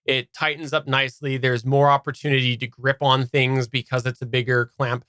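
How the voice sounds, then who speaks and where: A man's voice: mid-range voice, little pitch movement, moderate pace, bright, forceful tone, smooth, dry recording, clean studio sound. A man from Canada giving a casual, unscripted product review as a podcast host, explaining in detail and stressing key words.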